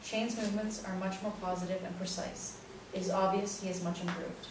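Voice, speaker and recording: A woman's voice: mid-range voice, steady tone, brisk. A woman reading scripted stage directions as the narrator of a play. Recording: very echoey room, distant mic, noisy.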